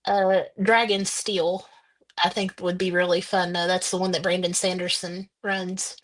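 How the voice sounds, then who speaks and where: Flat-voiced woman author, hesitant. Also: lowish voice, a little slow. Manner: hesitant, with many fillers and restarts. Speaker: author guest. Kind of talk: casual interview answers.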